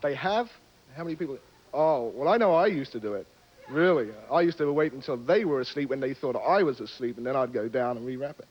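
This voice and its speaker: A man's voice: medium pitch, expressive, fairly quick. Hesitant male contestant. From England. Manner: hesitant, chatty, with fillers and little laughs. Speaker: game show contestant. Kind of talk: casual on-air chat. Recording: 1970s TV broadcast, dull sound, faint background noise.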